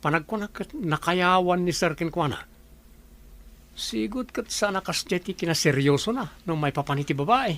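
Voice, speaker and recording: A man's voice: sits high, expressive, moderate pace, fairly bright tone. A man playing a chatty, nosy male employee who defers to his boss in a radio drama. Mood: evasive.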